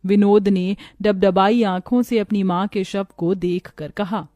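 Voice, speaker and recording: A woman's voice: mid-range voice, steady tone, a little slow, mellow, no echo. A woman narrator reading a scripted moral story in a solemn storytelling manner.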